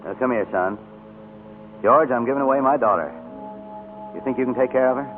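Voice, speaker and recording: An actor playing a calm middle-aged father soothing his daughter in a 1940s radio drama. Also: mid-range voice, a little fast, soft background music, close to an everyday voice. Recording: tinny old radio broadcast.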